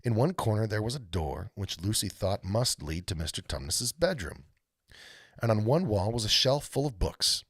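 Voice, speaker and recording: A man reading a storybook aloud as an expressive narrator. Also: fairly low pitch, moderate pace, husky, dry recording, clean studio sound. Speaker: read-aloud host, storyteller.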